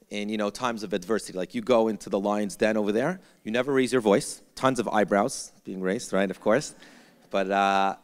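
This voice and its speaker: A man moderating a live conversation informally, in a jokey way, often doubling back on his phrases. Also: lowish voice. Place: event hall before a live audience. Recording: echoey hall sound.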